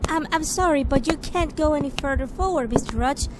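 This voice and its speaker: An actress as a courteous, firm stewardess in a retro radio play. Her high female voice comes through loud noise. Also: fairly quick, close to an everyday voice. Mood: polite, nervous. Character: polite, firm young stewardess. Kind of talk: 1930s radio acting.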